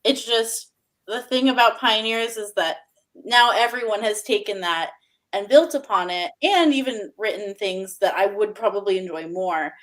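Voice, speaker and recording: A woman with a mid-range voice shares her views in a casual book chat. She sounds measured and reflective as a panelist. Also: medium pitch, fairly bright tone.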